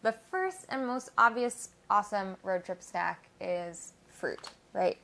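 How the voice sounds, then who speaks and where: A woman's voice: mid-range voice, slow pace, creaky. Bubbly young woman hosting a vlog. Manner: bubbly, enthusiastic. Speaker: host. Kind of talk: casual chat. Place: living room with window, indoors.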